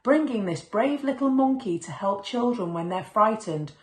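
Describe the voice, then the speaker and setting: A woman voicing a warm, admiring mother in a children's storybook, with a gushing manner. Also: fairly high pitch, animated, moderate pace, voice pushed up, lightly acted. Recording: echoey room.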